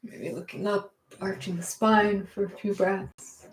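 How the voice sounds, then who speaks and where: A woman teaching gentle movement and meditation in a reassuring, permissive, unhurried manner. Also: mid-range voice, flat delivery, slight background noise, poor audio.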